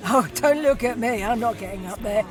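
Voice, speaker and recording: A woman's voice: fairly high pitch, a little fast, close to an everyday voice. A woman playing a cheery, blunt older townswoman in an audio drama. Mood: teasing, jolly. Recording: loud music and pub crowd behind.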